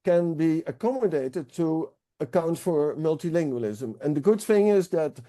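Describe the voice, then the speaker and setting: Male researcher guest, slightly high yet very mellow tone, halting and thoughtful. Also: lively intonation. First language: Dutch. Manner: thoughtful, halting with frequent fillers and restarts. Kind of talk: explanatory interview talk.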